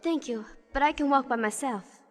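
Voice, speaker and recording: Woman doing a flippant time lady rogue, high voice, big hall reverb, audio drama. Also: normal speed, lightly acted. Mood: dismissive. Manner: breezy, flippant, teasing. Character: cheeky, self-assured female Time Lord rogue. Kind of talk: sci-fi audio drama acting.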